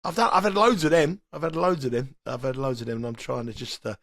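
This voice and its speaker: A man's voice: medium pitch, steady tone, fast, a bit bright. A man hosting a betting podcast in a chatty, rambling way, sounding nasal and sniffly from a cold. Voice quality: slightly sniffly. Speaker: podcast host. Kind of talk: casual betting chat.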